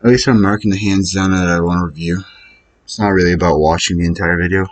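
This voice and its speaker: A man's voice: deep voice, steady tone, fast. A man muttering his way through casual think-aloud commentary as he plays online poker, hesitant and questioning himself. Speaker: online poker player recording own session.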